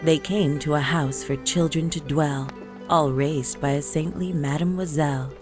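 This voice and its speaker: A woman storyteller reading a rhyming Christmas poem in a measured, lilting delivery. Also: sits low, fairly even intonation. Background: music.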